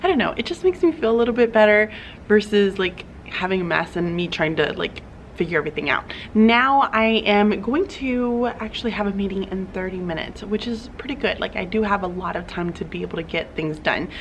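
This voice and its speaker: A woman's voice: mid-range voice, fairly quick, a little hiss or hum. A young woman teacher, chatting upbeat through her school day in a classroom vlog, with plenty of fillers. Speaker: teacher vlogger.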